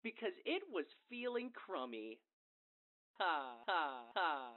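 A woman's voice: fairly low pitch, sing-song, takes it slowly. A woman voicing a creepy animatronic puppet companion with a tinny, cheerful, singsong delivery in muffled game audio. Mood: chipper. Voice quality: tinny lo-fi recorded voice. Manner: singsong, cheerful canned phrases.

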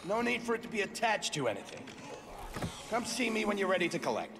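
An actor playing a cold bounty announcer in a video game. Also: male voice, high-pitched, huge pitch swings, fast talker. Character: cold bounty announcer putting a price on heads. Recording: long reverb with background noise.

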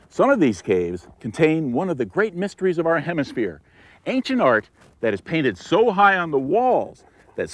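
A young man hosting a 1970s adventure show, introducing the trip in a friendly, informative way. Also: medium pitch. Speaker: show host. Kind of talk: presenter introduction. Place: outdoors on a dry lake bed.

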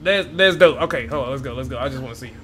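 A young man reacting to gameplay from a home room, excitable and swearing freely as he talks to his viewers. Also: mid-range voice, rapid-fire, faint music behind. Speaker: gaming YouTuber. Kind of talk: casual gameplay reaction commentary. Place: home room with gaming chair.

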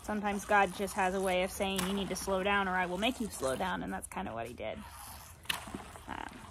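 A woman's voice: mid-range voice, lively intonation, brisk, gravelly. A chatty woman in her thirties rambles in a down-to-earth way about farm work, sounding sore and tired. She talks into a phone held at arm's length outside on a farm, with some outdoor noise in the phone audio. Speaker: farmer vlogger. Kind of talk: casual vlog chat to camera. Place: outdoors on a farm, by fields and a cattle barn.

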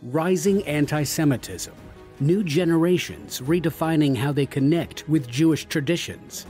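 A man reading a scripted podcast promo in a measured announcer style. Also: on the high side, darkish tone, soft background music.

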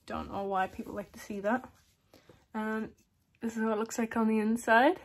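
A woman's voice: fairly high pitch, steady tone, moderate pace. A young woman with a slight lisp, chatting casually to camera in a small room, giggling now and then. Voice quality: slight lisp from a lip plate. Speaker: vlogger.

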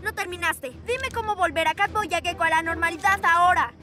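A woman's voice: extremely high pitch, fairly quick. A woman voicing an earnest, bold six-year-old girl superhero in a children's cartoon, emphatic, with a Latin American accent. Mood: determined. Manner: emphatic, determined delivery. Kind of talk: animated children's cartoon dubbing. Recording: music behind the voice.

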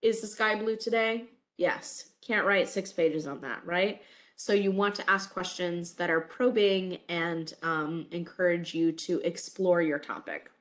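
A woman's voice: fairly low pitch, fairly even intonation, unhurried, fairly bright tone. A woman in her thirties giving a recorded college English lecture over webcam, stuffy from a cold, friendly and full of "um" fillers. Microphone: webcam over slides.

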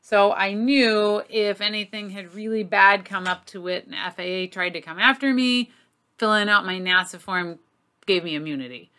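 A woman's voice: mid-range voice, moderate pace, pushed and bright, clean tone. A middle-aged woman giving an informal, emphatic and candid lesson to camera as a flight instructor. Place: small home office, indoors.

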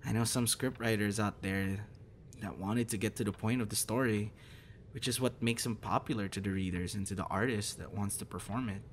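A man playing a gentle, encouraging young voice actor in a soft, hesitant, reassuring ASMR boyfriend roleplay. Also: fairly low pitch, fairly quick, lightly acted. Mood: earnest, reassuring. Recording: noticeable background noise.

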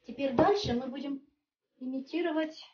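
A woman's voice: sits high, moderate pace. A woman walking through a home recipe step by step in a chatty, homely way, recorded at a kitchen table. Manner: homely and chatty, with frequent little fillers. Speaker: home cook. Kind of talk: step-by-step cooking demonstration. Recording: distant, muffled, faint music behind.

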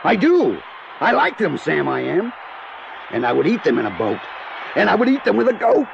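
Gravelly, theatrical storybook creature. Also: male voice, sits high, wildly swooping pitch, moderate pace, crowd noise, heavily acted. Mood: delighted. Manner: emphatic, rhythmic rhyming refusals. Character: grumpy, stubborn, picky male storybook creature, exasperated. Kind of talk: theatrical read-along children's storybook performance. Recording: noisy, dull old cassette with sound effects.

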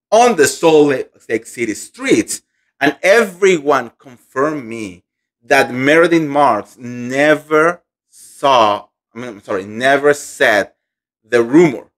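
A man dishes celebrity gossip with animated indignation, repeating words for emphasis. He talks straight into a close studio mic in a room at home. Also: mid-range voice. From Colombia. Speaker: pop culture gossip host. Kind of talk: gossip commentary vlog. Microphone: studio microphone on boom arm.

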